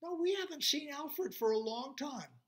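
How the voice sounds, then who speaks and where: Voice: high voice, lively intonation, moderate pace, voice pushed up. A storyteller voicing a group of small, anxious forest animals.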